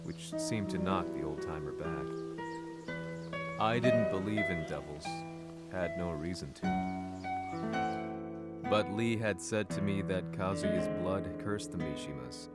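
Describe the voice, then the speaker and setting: A low, grave male voice tells a video game story as a brooding investigator. He speaks in a measured, serious way, with prominent guitar music underneath. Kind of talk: scripted narration.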